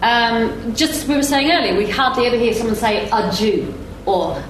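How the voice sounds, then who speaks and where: A woman's voice: fairly high pitch, animated, normal speed. A woman speaking as a panellist in an informal discussion, making her points emphatically. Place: echoey room at a live event. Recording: echoey room, faint noise.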